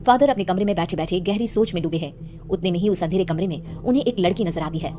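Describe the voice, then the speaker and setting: A woman's voice: fairly low pitch, quick pace, over music. A woman narrating a scripted horror cartoon story as its storyteller.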